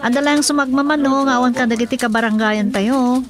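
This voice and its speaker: A woman's voice: high voice, level tone, fairly quick, lightly acted. A woman with an Ilocano accent playing a dutiful village secretary in a radio drama, reporting politely.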